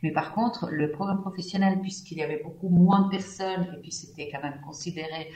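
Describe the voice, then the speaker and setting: A woman's voice: on the low side, fairly even intonation, brisk, warm tone, reverberant. A middle-aged woman from Italy, whose first language is Italian, answering questions in a radio interview, hesitating often with fillers and restarts. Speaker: festival partnerships manager, guest.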